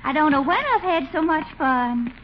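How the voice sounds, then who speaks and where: An actress playing a coquettish, wheedling Southern belle in a 1950s radio comedy, coy and pleading with an American Southern accent. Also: female voice, high voice, normal speed, close to an everyday voice. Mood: delighted. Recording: muffled, hissy old radio recording.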